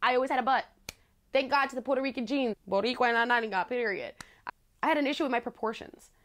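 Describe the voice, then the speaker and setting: A young woman in her twenties with a low voice, telling her story candidly to the camera in a casual vlog, chatty and playful with frequent laughs. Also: quick pace, a bit bright. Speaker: vlogger. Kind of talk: casual talking-head storytelling. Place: small living room with plants, indoors.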